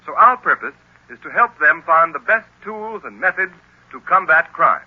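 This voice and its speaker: Casting: American male vintage radio announcer, formal and scripted, animated pitch, slightly high, emphatic. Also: normal speed, slightly rough. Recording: muffled, grainy old radio broadcast.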